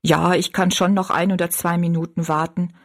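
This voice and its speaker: A woman's voice: on the low side, flat delivery, moderate pace. A woman voicing a poor, simple young kitchen maid who speaks plainly and wonders at what she hears. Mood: wondering. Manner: simple, amazed, plain-spoken.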